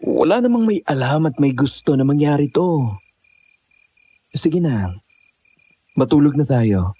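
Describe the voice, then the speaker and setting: A man's voice: fairly high pitch, animated, measured pace, warm tone, breathy edge. A man playing a young hiker and protective boyfriend in an audio drama, switching into English. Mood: reassuring.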